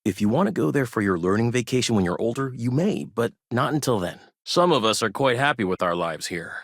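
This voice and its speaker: A man's voice: mid-range voice, animated, a little fast, no echo, clean studio sound. An actor playing a protective, wary traditionalist farmer and family man in a space colony game, stern and guarded with folksy politeness. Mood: firm, fatherly. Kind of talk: video game character dialogue.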